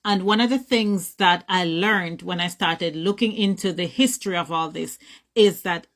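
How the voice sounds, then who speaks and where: A woman's voice: on the low side, normal speed, a bit bright. A woman giving earnest, articulate commentary.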